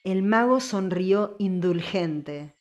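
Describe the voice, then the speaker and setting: A woman's voice: medium pitch, lively intonation, slow, a little mellow. A woman reading scripted story narration as an audiobook narrator.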